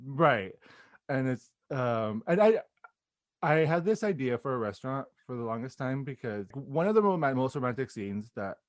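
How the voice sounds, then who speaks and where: Man, American accent, mid-range pitch, casual interview guest, hesitant phrasing, dry recording. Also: very animated, moderate pace, dry recording. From Los Angeles, United States.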